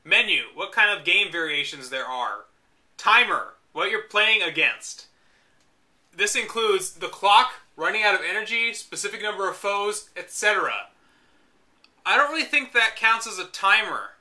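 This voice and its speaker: A man's voice: high-pitched, big pitch swings, moderate pace, bright, forceful tone. A young man reading an old video game guide aloud and mocking it with dry asides. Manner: mocking, dry asides between read passages. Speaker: host reading a book aloud. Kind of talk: reading aloud with sarcastic commentary.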